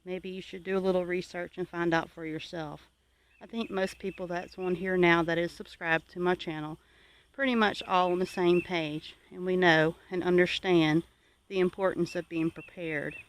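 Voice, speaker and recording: A homesteading channel host gives viewers advice in an earnest, exhorting and deliberate way. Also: mid-range voice, steady tone, clean tone.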